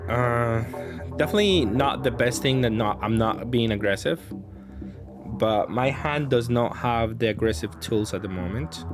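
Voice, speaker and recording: A man's voice: mid-range voice, normal speed. A man giving casual, improvised commentary on his own card game, thinking aloud hesitantly and often trailing off. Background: background music.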